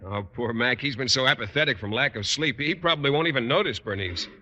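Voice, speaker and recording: An actor playing an earnest, naive, mild-mannered schoolteacher with an American accent, in 1950s radio sitcom style. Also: male voice, medium pitch, quick pace, sharp tone, muffled recording. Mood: innocent, concerned. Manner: earnest, literal, boyishly innocent. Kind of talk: 1950s American radio situation comedy acting. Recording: old radio recording with studio audience.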